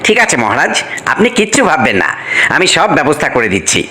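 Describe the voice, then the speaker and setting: A man's voice: a little high, huge pitch swings, fairly quick, pushed and bright, faint music behind, full character voice. A man voicing an eager, servile royal minister in a cartoon.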